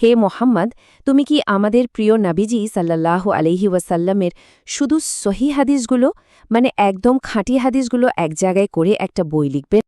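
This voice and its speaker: A woman's voice: medium pitch, animated, fairly quick, mellow, dry recording, studio quality, close to an everyday voice. A woman voicing a kindly older male religious teacher, affectionate and earnest, in a children's story. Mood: affectionate, encouraging.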